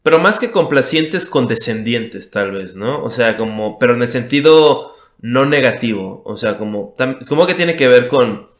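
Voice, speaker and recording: A man's voice: medium pitch, lively intonation, moderate pace, few pauses, reverberant. A young Mexican man chatting casually as a podcast guest, self-mocking and full of "o sea" fillers. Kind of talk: casual conversation.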